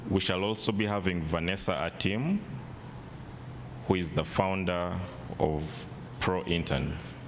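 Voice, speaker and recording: A man working as the emcee of an event, making announcements in a halting way with restarts. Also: steady tone. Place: large event hall. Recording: long hall reverb, loud rumble.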